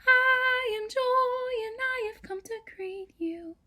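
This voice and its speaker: A woman voicing a small, cheerful female songbird in a storybook, singing her lines slowly and gently. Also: very high-pitched, pitched above the performer's own voice, full character voice. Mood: cheerful singing greeting.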